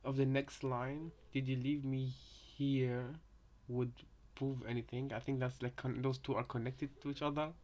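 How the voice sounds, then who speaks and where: A man with a mid-pitched voice reacts casually to what he hears. He talks in a halting, conversational way and circles back over his own phrases. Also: flat delivery, darkish tone.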